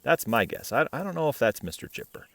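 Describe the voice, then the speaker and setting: Animated man reading to kids, swooping pitch. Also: brisk, creaky, dry recording. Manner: playful, asks the listener questions. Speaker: picture-book reader, host. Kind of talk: animated children's read-aloud with chatty asides.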